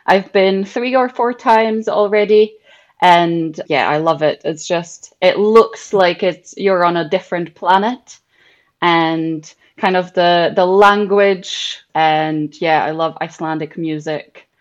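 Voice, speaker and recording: A woman's voice: on the low side, takes it slowly, highs cut off. A young woman whose first language is Czech, speaking English with a Czech accent and a slight Scottish lilt, answering interview questions thoughtfully with frequent ums and small restarts. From Czech Republic. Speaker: guest, English teacher.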